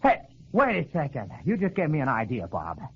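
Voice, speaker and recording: An actor playing an eager, confident young college athlete, brisk and hearty, in 1940s radio adventure style. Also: male voice, fairly high pitch, huge pitch swings, husky, heavily acted. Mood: confident, resolute. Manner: take-charge, brisk, hearty. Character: eager, confident young male college ball player and hero. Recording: muffled, noisy old radio recording.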